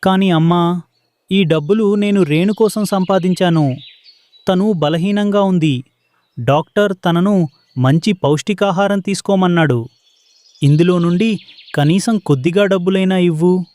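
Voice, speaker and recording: A man voicing an honest, gentle young husband bird, a humble trader in a children's cartoon, speaking earnestly. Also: high-pitched, a little slow, long pauses. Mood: pleading. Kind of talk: children's animated story dialogue.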